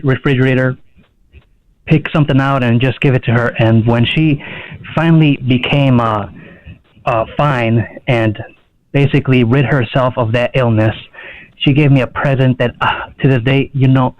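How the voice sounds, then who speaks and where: A man's voice: medium pitch, no echo. A man calling in to a radio show by phone, telling a story casually and hesitantly. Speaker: prank caller. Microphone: telephone. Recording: dull radio phone-in line.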